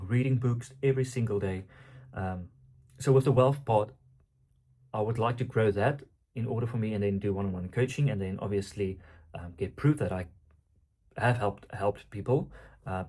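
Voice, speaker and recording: A man's voice: lowish voice, darkish tone. A man from South Africa whose first language is Afrikaans, speaking English with an Afrikaans accent in an earnest, rambling, unscripted vlog monologue. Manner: rambling, earnest, thinking aloud. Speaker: vlogger and aspiring coach.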